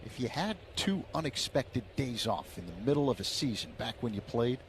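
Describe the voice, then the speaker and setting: A man calling baseball play-by-play on the radio in a steady, measured way. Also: mid-range voice, a little hiss or hum.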